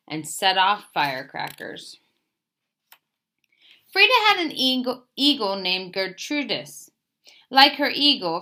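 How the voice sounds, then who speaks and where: A woman's voice: mid-range voice, bright, forceful tone. A woman reads a children's picture book aloud as a storyteller, expressively, lifting the openings of phrases and settling at sentence ends.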